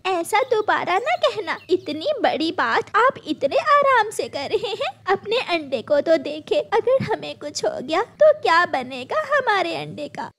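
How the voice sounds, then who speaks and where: An anxious, caring cartoon mother bird played by a woman. The voice is high and very lively, swinging with feeling. She pleads tenderly, like a worried young wife and mother. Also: unhurried, few pauses, heavily acted. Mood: frightened, upset. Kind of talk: children's cartoon voice acting.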